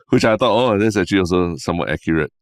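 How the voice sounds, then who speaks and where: Low-voiced Singaporean man chatting on a podcast. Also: fast talker, no echo, studio quality. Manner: relaxed, drops "lah" and "right?" often. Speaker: podcast co-host. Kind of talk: casual podcast chat.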